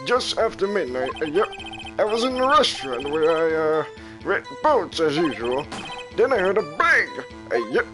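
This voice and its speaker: Man putting on a high, doddering old man. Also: rough recording. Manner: halting, vague, mumbling with many ums. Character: doddering forgetful old boat shop man.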